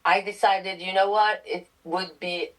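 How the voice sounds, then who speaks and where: A woman's voice: medium pitch, fairly even intonation, a bit bright, rough recording. A woman from Iran, a guest author, answering interview questions thoughtfully with frequent ums. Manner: slow, thoughtful, many ums.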